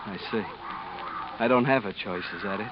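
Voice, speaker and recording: A man's voice: fairly low pitch, normal speed, background noise, close to an everyday voice. An actor playing a weary, resigned expatriate man telling his island story in a 1940s radio drama. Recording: muffled old radio recording with music.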